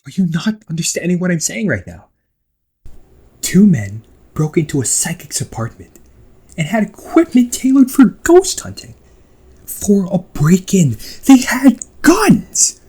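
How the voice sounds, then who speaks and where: A man playing a protective, exasperated ghost brother in an emotional amateur audio roleplay, speaking in a husky voice. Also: sits high, big pitch swings, measured pace, full character voice. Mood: angry, alarmed. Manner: emotional, swearing, swings from outbursts to soft confessions.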